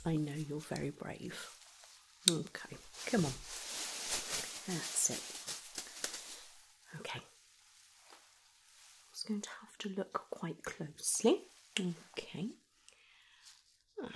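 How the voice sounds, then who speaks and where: A woman, speaking in a soft, often whispered voice, soothes and reassures as she tends a graze in an ASMR first-aid roleplay. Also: sits low, lively intonation, takes it slowly, long pauses, rough recording. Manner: soothing, reassuring, gently shushing. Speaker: carer tending a child's graze.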